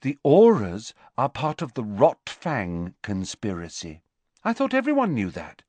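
A man's voice: mid-range voice, wildly swooping pitch, unhurried, a little mellow, dry recording. A man doing Luna, an airy, dreamy teenage girl. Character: dreamy, odd teenage girl, matter-of-fact.

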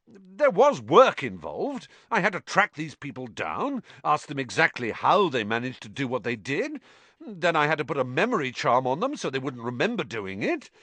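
A vain, preening wizard professor, theatrical and condescending, his voice lifting higher than normal and swooping dramatically, as a man reading a storybook puts him on. The sound is close and dry. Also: big pitch swings, moderate pace, fairly bright tone, no echo. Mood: self-pitying.

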